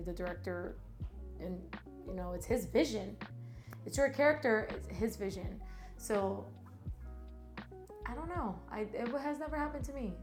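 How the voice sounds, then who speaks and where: A woman talking as a guest on a casual podcast, animated and quick, acting out what people said to her. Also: medium pitch. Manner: animated, talks fast, acts out quotes. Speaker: guest actress. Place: Miami.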